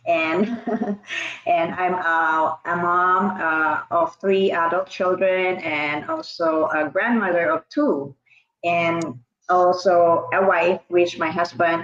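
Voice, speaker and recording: A woman, hosting a casual online interview and pausing often with fillers. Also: lowish voice, a bit bright. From Philippines. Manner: hesitant, many pauses and fillers. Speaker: interview host. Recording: echoey, dull-sounding room.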